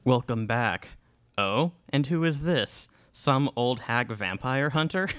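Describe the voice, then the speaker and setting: Young guy as arrogant vampire villain, radio play, clean smooth voice, taunting, dry sound. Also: medium pitch, expressive, takes it slowly, fairly bright tone, no room sound. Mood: gloating. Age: young adult. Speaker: student radio play performer. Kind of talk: live radio theatre acting. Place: small classroom studio. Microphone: stand microphone, close.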